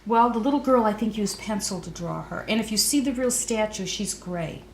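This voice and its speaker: An older woman reading a children's storybook aloud, pausing to chat with her young listeners. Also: mid-range voice. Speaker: author and storyteller. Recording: roomy echo, faint background noise.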